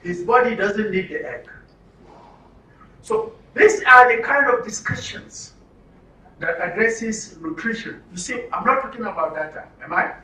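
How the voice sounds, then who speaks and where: A man's voice: high-pitched, lively intonation, a little slow, pushed and bright. A man with a Nigerian accent leading a training session as an interactive lecture, asking rhetorical questions and repeating answers back. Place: indoor training room with audience. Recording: echoey room, distant mic, faint noise.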